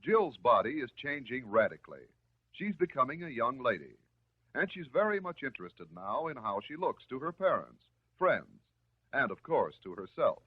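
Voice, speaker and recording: An American man delivering scripted narration in the style of a 1950s educational film, measured, instructive and reassuring. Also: medium pitch, sing-song, slightly rough. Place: voice-over recorded for film. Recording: muffled, narrow-band 1950s film soundtrack.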